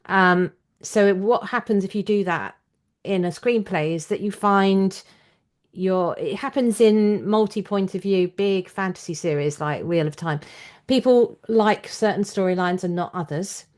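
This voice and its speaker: A woman's voice: mid-range voice, a little slow. A woman hosting a conversational interview, explaining her views in an analytical way. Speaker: podcast host and interviewer. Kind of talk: conversational book and screen discussion.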